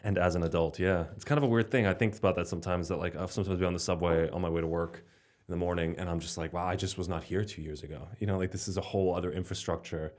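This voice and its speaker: A man's voice: low-pitched, steady tone, very fast, darkish tone. A young man from Slovenia chatting casually as a podcast guest, rambling with lots of fillers and restarts. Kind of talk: casual conversation.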